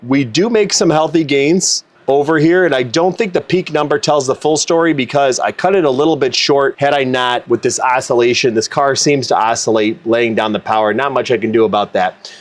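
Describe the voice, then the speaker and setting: A man's voice: medium pitch, brisk. A man hosting a car tuning video, explaining in a casual, matter-of-fact, conversational way. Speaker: car tuner and video host.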